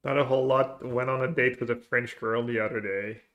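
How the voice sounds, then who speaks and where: A man with a bright, forward voice streams a card game live. He talks casually to his viewers and works through his plays out loud in a mid-range pitch. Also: fairly even intonation, a little fast. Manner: thinking aloud, planning plays. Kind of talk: live gameplay commentary, reading chat.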